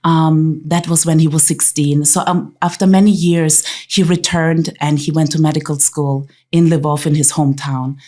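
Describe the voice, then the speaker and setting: A woman from Austria, a podcast guest, telling her family's story in halting speech with many pauses. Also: low voice, steady tone, warm tone. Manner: halting, many ums, laughs easily.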